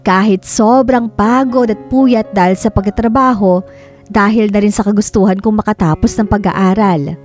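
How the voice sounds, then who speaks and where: A woman's voice: medium pitch, lightly acted. Actress as earnest woman narrating her hard life, music underneath. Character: Karen, 33-year-old dutiful eldest daughter recounting family hardship. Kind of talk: scripted dramatic first-person radio narration. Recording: soft background music under the voice.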